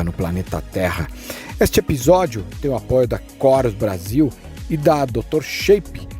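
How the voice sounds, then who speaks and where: Mellow-voiced man introducing a show. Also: medium pitch. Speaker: show host. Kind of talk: scripted episode introduction.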